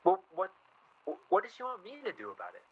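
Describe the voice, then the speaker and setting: An actor playing an earnest, exasperated young man in a naturalistic radio play, halting and defensive as he talks. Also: high voice, wildly swooping pitch, slightly rough, narrow, tinny audio, exaggerated voice. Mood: troubled. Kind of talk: radio play dialogue, naturalistic period drama.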